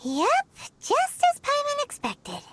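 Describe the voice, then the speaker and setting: A woman voicing a tiny, childlike, cheeky fairy companion in a video game, with a perky, squeaky voice. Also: huge pitch swings, slow pace, background noise, exaggerated voice. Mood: smug, teasing. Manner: refers to self in third person, perky. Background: music.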